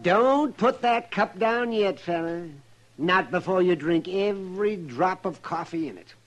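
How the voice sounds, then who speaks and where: An actor playing a gruff, bossy frontier town doctor, crusty and commanding, in an old-time radio western. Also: male voice, high-pitched, measured pace, slight background noise. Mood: stern, bossy.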